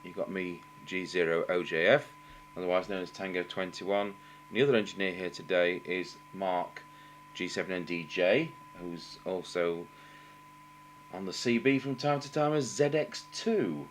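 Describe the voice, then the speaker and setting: A middle-aged Englishman with a fairly low voice talks through a technical job at a workbench. He is halting and unscripted, thinking aloud as he goes. There is some noise and hum behind him. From England. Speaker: radio engineer demonstrating repairs. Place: indoor workbench, voice off camera. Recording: noticeable background noise with hum.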